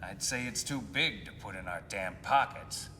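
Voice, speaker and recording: An actor playing a cocky tank crew leader in a game, with a sarcastic, gruff way of talking. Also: male voice, on the low side, normal speed, sharp tone. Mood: exasperated, sarcastic. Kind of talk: animated game dialogue. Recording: clear vehicle noise.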